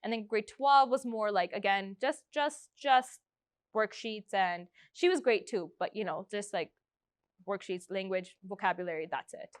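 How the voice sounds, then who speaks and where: A woman in her twenties from Canada talks through an oral history interview in an upbeat, rambling way, full of "like" and "um". Her voice is smooth and crisp. It is recorded dry and close, with no room sound. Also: mid-range voice, unhurried, no room sound. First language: Punjabi. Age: 20s. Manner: chatty, upbeat, lots of fillers and restarts. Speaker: interviewee, schoolteacher.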